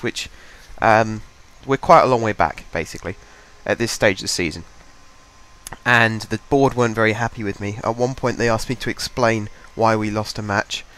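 A man's voice: fairly low pitch, fairly bright tone. A young man with a head cold, chatting casually as he commentates his own game at a home desk mic, rambling and thinking aloud. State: has a cold, congested. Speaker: gaming channel host.

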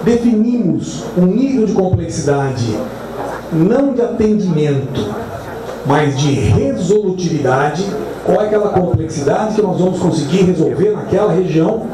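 A man from Brazil gives a formal farewell speech as outgoing health secretary, measured, with slow, stretched phrases. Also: high-pitched, expressive. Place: large crowded hall, indoors. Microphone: far camera in the crowd. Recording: echoey hall heard from far off, noisy crowd.

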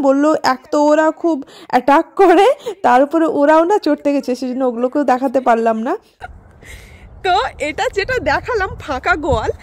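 High-voiced chatty vlogger woman. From India. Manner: chatty, rambling, with hesitant fillers. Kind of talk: casual vlog narration.

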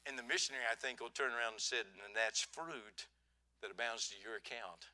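An older man preaching a folksy sermon on a church stage, swinging from quiet asides to loud emphasis. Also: medium pitch, fairly quick. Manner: folksy, swings from soft to loud, asks "huh?".

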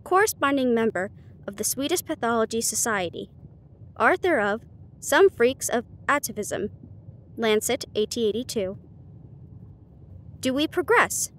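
Higher-voiced woman narrating a storybook. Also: a bit bright. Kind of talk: storybook narration, read aloud.

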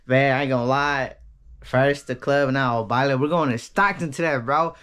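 A man's voice: medium pitch, steady tone, normal speed, clear, resonant tone. A young man talking slangy and casual as he vlogs, filming himself on a handheld camera in his room, a car and a packed concert hall. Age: young adult. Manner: slangy, hyped, laughing with friends. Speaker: vlogger. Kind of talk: casual vlog chat. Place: car, then loud indoor concert hall. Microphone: handheld action camera. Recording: loud concert music and crowd noise. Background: loud live music and crowd.

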